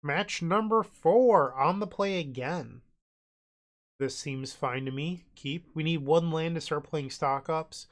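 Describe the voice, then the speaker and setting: Man, nasal, congested, fairly high voice, casual game commentary, thinking aloud. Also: slow, faint music behind. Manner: thinks aloud, narrating each move in short phrases. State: congested from a cold. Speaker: host playing a card game online.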